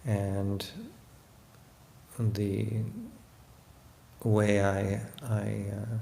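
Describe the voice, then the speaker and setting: A man teaches meditation in a fairly low, very level voice. He takes his time, hesitating and pausing as he reflects. The room adds a clear echo around his words. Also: flat delivery, dark tone. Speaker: meditation teacher, Buddhist monk. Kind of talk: guided meditation talk. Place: room in a monastery. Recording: roomy echo, faint background noise.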